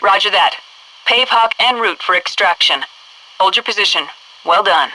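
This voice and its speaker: A woman's voice: medium pitch, expressive, moderate pace, bright, forceful tone, slight background noise. A woman playing a composed military headquarters officer with an American accent, giving clipped, formal, authoritative briefings through a radio filter in a video game. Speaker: mission control officer. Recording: distant-sounding military radio transmission.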